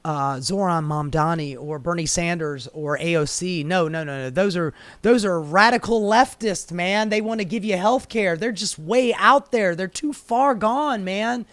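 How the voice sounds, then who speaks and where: A man's voice: sits high, measured pace, few pauses, fairly bright tone, dry recording. An American man giving casual, opinionated news commentary in a sardonic, exasperated, sweary manner. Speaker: political commentator.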